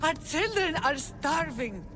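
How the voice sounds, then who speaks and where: An actress playing a desperate, starving townswoman in a video game, pleading emotionally and haltingly. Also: sits high, very animated, heavily acted, poor audio. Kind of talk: video game dramatic acting.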